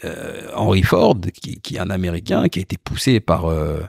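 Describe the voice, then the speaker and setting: Guest founder, man, deep gravelly tone, animated and halting. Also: dry recording, studio quality. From Portugal. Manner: animated, repeats words when searching. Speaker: guest, car company founder. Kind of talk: casual interview chat.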